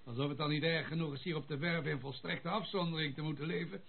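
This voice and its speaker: A pompous old retired general who loves his comforts and grumbles about being denied them. His voice is creaky and fairly high for a man, heard through a tinny, noisy old radio drama recording. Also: fairly even intonation, quick pace. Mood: indignant, grumbling. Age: older. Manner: pompous, complaining.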